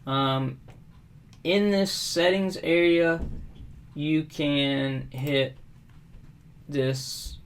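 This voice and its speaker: A middle-aged man from South Carolina walking through a software setup at his desk, relaxed and unhurried, with frequent ums and uhs. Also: a little high, smooth. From South Carolina, USA. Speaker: WordPress tutorial host. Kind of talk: casual screen-recorded software tutorial. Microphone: webcam overlay at desk.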